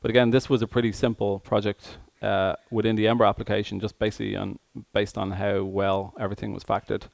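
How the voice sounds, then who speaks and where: A man giving a tech conference presentation as an engineer, speaking hesitantly with frequent pauses mid-phrase. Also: fairly low pitch, little pitch movement, creaky, no echo.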